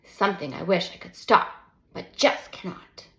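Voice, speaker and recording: A woman voicing a gentle but overwhelmed mother in a storybook, patiently and tenderly explaining things to young children with soft emphasis. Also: lowish voice, moderate pace. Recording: roomy, echoing sound.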